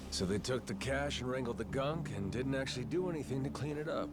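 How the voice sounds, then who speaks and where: Game role, male actor: wry amnesiac gangster drifter, folksy and rough-talking, animated swings in pitch. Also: brisk, lightly acted. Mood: curious, wry. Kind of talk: English video game voice acting.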